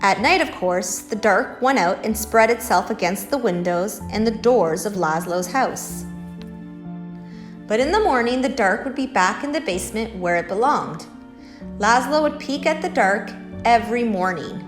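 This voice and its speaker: A woman's voice: medium pitch, expressive, normal speed, roomy sound, music in the background. A woman hosting a children's story time, reading picture books and talking in simple, encouraging words to young listeners. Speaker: library story-time host.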